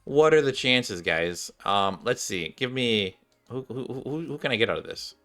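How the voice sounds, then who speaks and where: A man's voice: mid-range voice, lively intonation, moderate pace, bright, forceful tone. A man hosting a gaming video, giving casual, chatty commentary addressed straight to his viewers. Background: game music.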